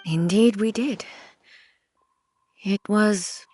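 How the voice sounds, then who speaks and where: A calm, composed ruler. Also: female voice, mid-range voice, soft background music. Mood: reflective. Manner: measured, formal, contemplative. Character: calm, dignified female ruler, reflective and composed.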